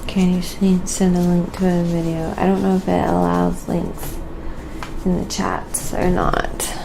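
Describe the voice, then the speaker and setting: A soft-spoken woman chatting casually and quietly as she narrates what she is doing in an ASMR livestream, in an unhurried way. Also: fairly low pitch, little pitch movement. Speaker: livestreaming craft painter and host. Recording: clear background noise, windy ambience. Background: wind howling.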